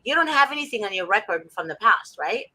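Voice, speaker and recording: A woman hosts a casual talk show with passion, leaning into a sermon-like tone. Her voice is bright and sharp, and the mic is close, with no echo. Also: mid-range voice, very animated, fast talker. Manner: passionate, preachy, quotes scripture.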